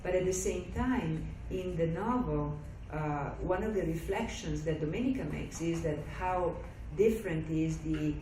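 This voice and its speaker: A woman's voice: deep voice, unhurried, hardly any pauses, dark tone. A woman moderating a discussion, talking hesitantly with fillers between phrases. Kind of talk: leading a Q&A discussion. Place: lecture room. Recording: roomy lecture room, mic far off, background noise.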